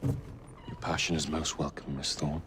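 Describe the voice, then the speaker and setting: An actor with an English accent playing a grandiose, formal crime lord with a fierce temper in a video game cutscene. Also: male voice, very deep voice, little pitch movement. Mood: cold, controlled. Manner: formal, theatrical, deliberate. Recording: long hall reverb under music.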